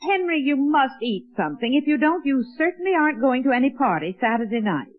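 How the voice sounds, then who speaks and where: Fussing mother, swooping high voice. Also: big pitch swings, fast. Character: fretful, doting middle-aged mother. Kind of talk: 1940s radio sitcom acting. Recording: tinny band-limited old radio recording.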